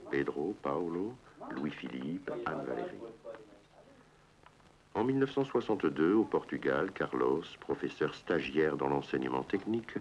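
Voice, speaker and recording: A man with an extremely low, booming voice narrates a documentary in short, clipped phrases. The sound is dull and muffled, like an old television broadcast.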